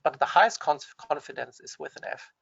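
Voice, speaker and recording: Man, German accent, webinar presenter, gravelly, dull dry computer audio, hesitant restarts. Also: a little high, animated, brisk, fairly bright tone, dry recording. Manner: hesitant, frequent restarts and fillers. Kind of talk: explanatory webinar talk.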